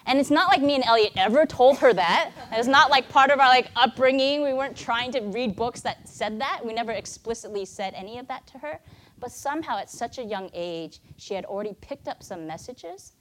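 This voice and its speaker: A woman's voice: on the high side, expressive, a little fast, slight background noise. An American woman in her thirties, a native Mandarin speaker, telling her own story as a guest speaker at a church, in an earnest, conversational way. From United States. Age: 30s. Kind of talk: personal testimony talk.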